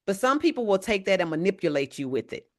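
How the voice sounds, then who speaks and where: Loud evangelist woman teaching. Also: mid-range voice, big pitch swings, fast talker. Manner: says she has a very loud voice; talks to the audience directly, often asking "right?". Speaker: evangelist teaching a leadership class. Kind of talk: church leadership lesson.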